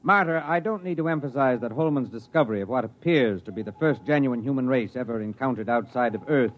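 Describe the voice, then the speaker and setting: Man acting as starship commander, authoritative, deliberate, formal military delivery, medium pitch, dull 1950s radio recording. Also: slight background noise, lightly acted. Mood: measured, grave. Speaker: actor in a radio drama.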